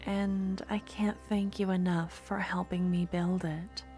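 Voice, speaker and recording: A woman's voice: on the low side, little pitch movement, a little slow, soft background music. A woman playing a doting possessive shopkeeper. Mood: soft, admiring. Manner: soft, intimate, slips into whispers. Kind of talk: ASMR audio roleplay.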